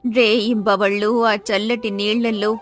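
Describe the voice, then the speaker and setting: A woman's voice: mid-range voice, flat delivery, normal speed, faint music behind, lightly acted. A woman voicing an elderly, food-loving village granny, wheedling yet kindly, with a drawling aged delivery in a storybook tale. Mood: consoling, earnest.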